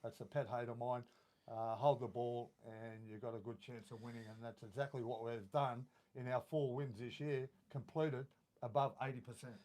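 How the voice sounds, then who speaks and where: An Australian man co-hosting a live sports podcast, with a croaky voice, talking passionately with lots of fillers. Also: medium pitch, fairly even intonation, moderate pace, mellow. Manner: passionate, rambling with many fillers. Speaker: podcast co-host. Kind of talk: casual sports chat.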